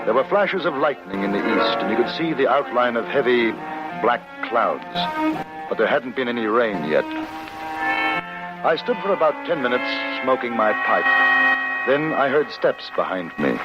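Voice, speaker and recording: An actor playing a laconic, compassionate western traveler who tells his story in a measured, understated way for old-time radio. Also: mid-range voice, very animated, close to an everyday voice. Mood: measured narrating. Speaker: lead actor and narrator. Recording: muffled vintage radio broadcast with loud music.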